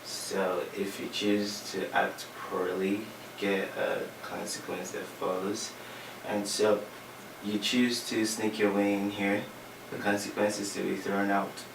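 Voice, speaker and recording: A man's voice: lowish voice, flat delivery, measured pace, vocal fry. A man being interviewed, giving his opinion hesitantly with many fillers. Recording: distant mic, echoey room, background noise.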